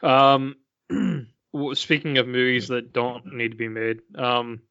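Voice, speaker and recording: Bright, clear-voiced man in casual podcast chat. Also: medium pitch, steady tone, takes it slowly, no echo, lo-fi sound. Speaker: podcast co-host.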